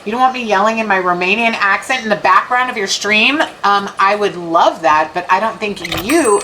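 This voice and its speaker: A woman's voice: medium pitch, normal speed, sharp tone, a little hiss or hum, low-quality recording. Chatty woman streaming a game. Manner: chatty, gushing, jokey. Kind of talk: casual gameplay chat. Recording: game music playing behind.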